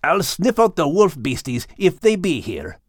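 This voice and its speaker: Children's audiobook: woman as brisk, protective male Scottish dog, plainspoken and hearty, lively intonation. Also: fairly low pitch, no echo, clean studio sound, pitched above the performer's own voice. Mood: vigilant.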